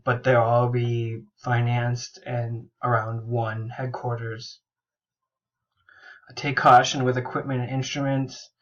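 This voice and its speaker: A young man, a music therapy student, gives a casual class presentation while recovering from a bad cold, often halting and restarting his sentences. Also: mid-range voice, fairly bright tone. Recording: dull lo-fi recording.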